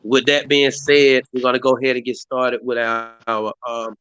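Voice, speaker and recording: A hoarse man hosts a community live stream in a rambling way, full of fillers and restarts. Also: mid-range voice, level tone, normal speed, fairly bright tone, muffled recording. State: hoarse, voice partly lost. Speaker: community activist hosting a live stream meeting. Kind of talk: casual hosted talk. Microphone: live stream mic.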